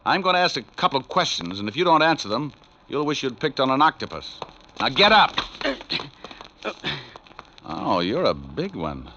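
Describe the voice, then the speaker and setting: A man's voice: mid-range voice, very animated, moderate pace, pauses often, sharp tone, a little hiss or hum. A man playing a wisecracking, sardonic New York private detective in a 1940s radio drama, hardboiled and flippant. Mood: cocky, threatening. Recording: muffled old radio broadcast recording.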